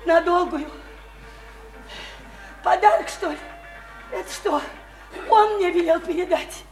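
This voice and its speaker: A woman's voice: very high voice, slow pace, full character voice. An actress playing a distraught, feverishly eager young provincial woman in a Russian radio play, with an emotional, pleading delivery. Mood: hysterical anguish. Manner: emotional, pleading, swinging from chatter to wailing. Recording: roomy radio play recording, faint music.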